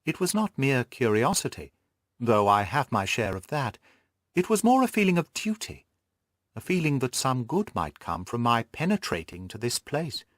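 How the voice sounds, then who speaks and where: A man's voice: medium pitch, sing-song, moderate pace, dry recording, studio quality, close to an everyday voice. A man voicing an earnest, curious young governess who recounts events in formal Victorian storytelling for an audiobook.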